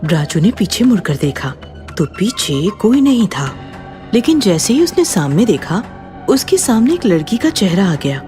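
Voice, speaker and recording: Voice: medium pitch, very animated, normal speed, a little mellow, soft background music. A narrator reading a scripted horror story.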